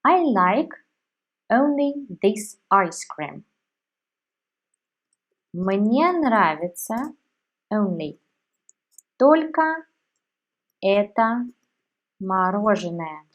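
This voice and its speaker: Very slow, deliberate woman teacher. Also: mid-range voice, sing-song, lo-fi sound. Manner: says each word separately with long pauses. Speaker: language teacher. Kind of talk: slow, deliberate language lesson.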